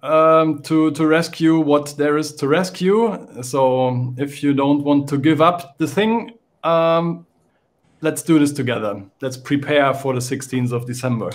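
A man's voice: a little high, unhurried. A man in his thirties with a German accent, a panelist on a video call, speaking hesitant English with many fillers. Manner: hesitant, filler-heavy non-native English. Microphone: video call webcam.

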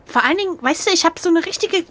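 A woman's voice: very high voice, expressive, very fast, noisy background. A woman chatting casually as the player in an adventure game, reacting in a playful, jokey, theatrical way. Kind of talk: casual chat.

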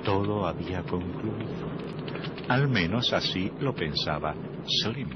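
A man's voice: low voice, animated, slow pace, very noisy. An actor playing a grim, sinister male horror storyteller, narrating a radio drama with macabre relish. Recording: muffled old radio recording with music.

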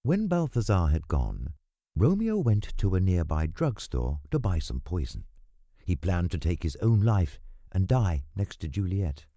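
A man reading a scripted story as narrator in a measured, dramatic way. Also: deep voice, sing-song, mellow, no room sound, studio quality. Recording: music under the voice. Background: background music.

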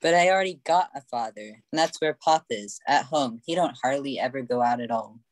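A man's voice: medium pitch, fairly quick, no room sound, natural voice. A man playing a reluctant, slangy young boy in an amateur old-time radio play. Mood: flustered, reluctant.

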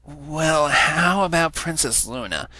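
A man's voice: on the high side, lively intonation, takes it slowly, pushed and bright, no room sound, pitched above the performer's own voice, heavily acted, rough recording. A man voicing a demanding male pony audience member in a fan fiction reading. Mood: insistent. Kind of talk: fan fiction read-aloud character voice.

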